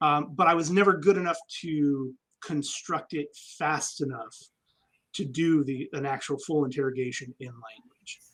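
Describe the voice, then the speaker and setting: A man's voice: on the high side, fairly even intonation, moderate pace, a bit bright, breathy edge, rough recording. A man, an army veteran guest, telling stories candidly and reflectively in a casual interview, with frequent ums.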